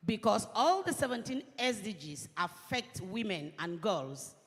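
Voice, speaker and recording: A woman addresses a panel in deliberate, earnest phrases. Her voice sits at an ordinary middle pitch. It rings with the long reverb of a large hall. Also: sing-song. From Cameroon. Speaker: panelist, women's organization coordinator. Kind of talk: conference panel remarks.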